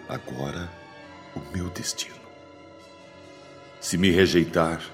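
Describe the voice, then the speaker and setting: An actor playing a desperate, lovesick older Brazilian man in a radio soap, theatrical and anguished. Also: extremely low pitch. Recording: long hall reverb, music behind.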